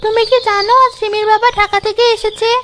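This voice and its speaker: High childlike pleading village girl. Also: flat delivery, quick pace, fairly bright tone, slight background noise. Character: young village girl, eager, pleading, stubbornly hopeful. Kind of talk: children's cartoon dialogue. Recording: faint music behind the voice.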